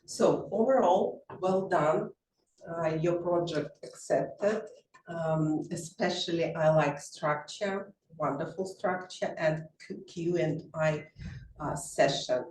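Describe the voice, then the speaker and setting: Halting Russian-accented man, echoey and distant. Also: on the high side. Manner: halting, searching for words, gentle feedback. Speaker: general evaluator at a speaking club. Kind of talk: spoken evaluation and meeting chairing. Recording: echoey room, distant mic, faint noise.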